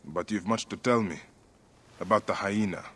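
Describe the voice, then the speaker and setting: An actor playing a stern, grim warrior hunting a killer, low, measured and grave. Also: male voice, a bit bright, slightly rough.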